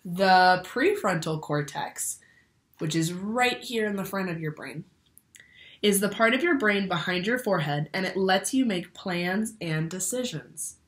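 A woman's voice: fairly low pitch, lively intonation, measured pace. A young woman reading a children's picture book aloud like a teacher, expressively, asking the listeners questions. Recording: roomy indoor echo.

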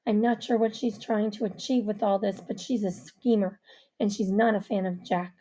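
A woman voicing a guarded, dismissive young man in a story, pitched lower than her narration. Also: fairly even intonation, moderate pace, mellow, close to an everyday voice, low-quality recording.

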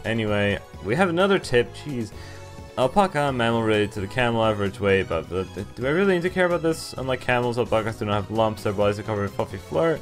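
A man's voice: lowish voice, expressive, faint music behind. A young man giving casual game playthrough commentary and reading text aloud, stumbling a little as he goes. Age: young adult. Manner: halting, stumbling while reading. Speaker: game streamer. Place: indoor room, webcam recording.

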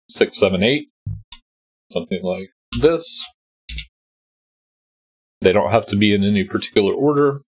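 A man's voice: low-pitched, slightly rough, low-quality recording. A man with a stuffy, congested voice, patiently talking through a sudoku solve step by step as host. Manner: patient, explanatory, thinking aloud. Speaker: host solving a sudoku puzzle. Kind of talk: calm step-by-step puzzle walkthrough. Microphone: voice-over on a screen recording.

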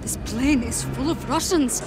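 A woman's voice: sits high, a little slow, loud music behind the voice. A woman playing a frightened but defiant young hostage in a video game, her delivery strained and pleading. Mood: desperate defiance. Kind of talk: cinematic video game acting.

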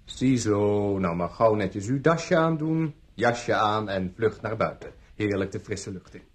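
A man's voice: lowish voice, animated, a little slow, breathy edge. An actor playing a patronizing, falsely jolly male jailer who chivvies his prisoner along in a radio drama. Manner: chivvying, nanny-like, smug.

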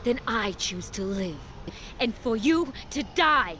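An actress playing an imperious, vengeful empress in a video game, haughty and commanding in a theatrical way. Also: female voice, fairly high pitch, expressive, slow pace, a little hiss or hum. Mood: defiant resolve. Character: imperious, vengeful empress defying her fate. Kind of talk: dramatic video game acting. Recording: muffled game audio under loud music.

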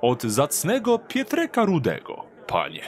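A man playing a sly, smooth-talking street thief in an audio drama, with theatrical, old-fashioned courtesy. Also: on the high side, huge pitch swings, a little slow. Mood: falsely courteous.

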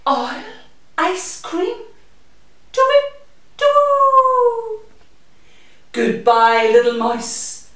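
A woman's voice: extremely high pitch, big pitch swings, extremely slow, long pauses, a bit bright, pitched above the performer's own voice, exaggerated voice. A woman voicing a fluttery, posh storybook owl with an exaggerated hooting delivery. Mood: alarmed. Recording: echoey room, distant microphone.

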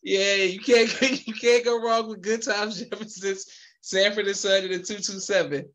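A man hosting a podcast interview over a video call, upbeat and quick to laugh. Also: sits high, little pitch movement, fairly bright tone. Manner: upbeat, laughs often, repeats "you can't go wrong". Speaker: podcast host.

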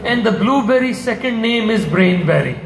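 An older man with a high, gravelly voice rambles through a casual panel answer, restarting and tossing in asides. He speaks into a handheld mic in a big convention hall, the sound bouncing off the room with faint background hum. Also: animated, moderate pace, a crowd in the background. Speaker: product formulator on a panel. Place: large convention hall stage.

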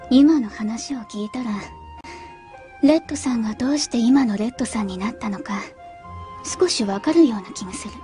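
A gentle young cat-person voiced by a woman in an anime radio drama. The voice is high and mellow, soft and politely thoughtful, with faint music behind it. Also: normal speed, lightly acted. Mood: gentle, curious.

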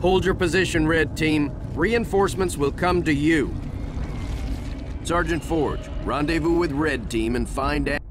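An actor playing a stern starship captain in a video game, giving clipped, commanding orders. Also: male voice, fairly high pitch, unhurried, noisy background, lightly acted. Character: stern middle-aged human ship captain.

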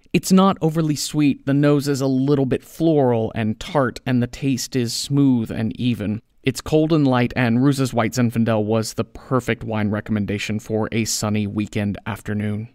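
A man's voice: mid-range voice, gravelly, darkish tone, dry recording, clean studio sound. A man reading a podcast sponsor ad in a casual, wry, conversational way. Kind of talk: conversational scripted ad read.

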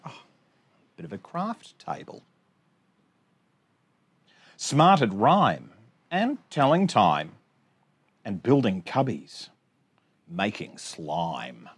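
Very animated man reading to children. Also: on the low side, extremely slow, long pauses, slightly rough. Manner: expressive, sing-song rhyme delivery with chatty asides. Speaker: storytime host. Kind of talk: reading a picture book aloud to children. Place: small indoor space among bookshelves.

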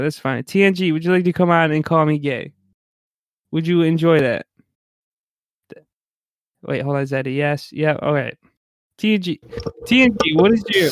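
A man's voice: on the high side, no room sound, clean studio sound. A man hosting a casual online podcast in a jokey, playful way. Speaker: podcast host.

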